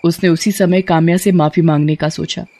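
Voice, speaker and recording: A woman's voice: on the low side, moderate pace. Woman narrating a bedtime story. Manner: steady storytelling, firm and scolding when voicing the teacher. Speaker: narrator who also voices the teacher. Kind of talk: scripted audio story narration.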